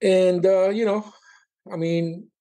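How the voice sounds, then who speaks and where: Higher-voiced man in his sixties, halting. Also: steady tone, dark tone. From Colombia and Miami. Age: 60s. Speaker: podcast guest, stand-up comedian. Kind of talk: casual chat.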